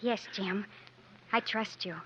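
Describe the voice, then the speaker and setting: A woman's voice: medium pitch, fairly even intonation, slow, sharp tone, slightly rough, heavily acted. An actress playing an anxious, well-bred young lady in a 1950s radio drama, with a refined, earnest, dramatic manner. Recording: muffled vintage 1950s radio recording.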